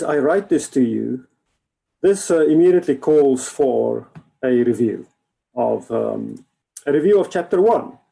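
A man preaching in a relaxed, halting way with many fillers, an Afrikaans speaker. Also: medium pitch, vocal fry, breathy edge. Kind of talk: informal sermon. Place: church hall.

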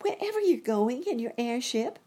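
A woman voicing a small, eager boy rabbit in a children's storybook, exclaiming excitedly. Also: on the high side, moderate pace, slightly rough, pitched above the performer's own voice. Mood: eager, hopeful.

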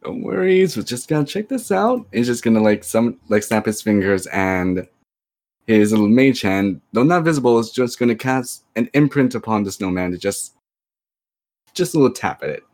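Animated man playing a tabletop game. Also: medium pitch, moderate pace. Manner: joking, animated, slips in and out of character. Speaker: player. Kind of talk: casual tabletop role-play.

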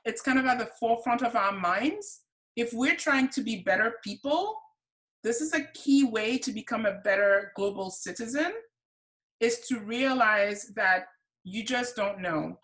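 A woman's voice: mid-range voice, fairly bright tone, rough recording. A woman answering interview questions with earnest, articulate explanations. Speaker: guest.

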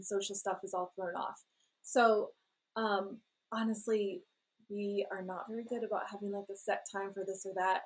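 A woman's voice: medium pitch, poor audio. A woman in her thirties, a parent and blogger, talking in a rambling, self-deprecating way and laughing often. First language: English. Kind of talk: relaxed conversational interview.

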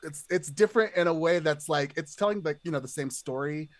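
A man's voice: on the high side, fairly quick, no echo. A man chatting casually as a podcast guest, rambling along and peppering his sentences with "like". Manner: rambling, heavily hedged with "like".

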